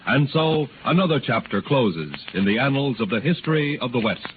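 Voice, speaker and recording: A man narrates in grand 1930s radio fashion. His pitch swings widely as he declaims. The recording is thin and tinny, with clear noise throughout. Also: animated, moderate pace.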